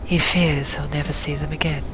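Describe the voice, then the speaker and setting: A man's voice: a little high, level tone, normal speed, fairly bright tone, close to an everyday voice, poor audio. A man voicing a smug, dismissive birdlike alien in a sci-fi audio drama. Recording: music behind voice.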